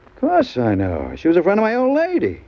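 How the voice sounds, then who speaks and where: A man's voice: sits high, wildly swooping pitch, quick pace, creaky, exaggerated voice. An actor playing a big, shady man in his forties, edgy and insistent, in an old radio mystery style. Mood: agitated, anxious. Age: 40s. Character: big, nervous, sweating pool-parlor owner hiding guilt, turns menacing. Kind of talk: 1940s-50s radio mystery acting. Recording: muffled vintage radio recording.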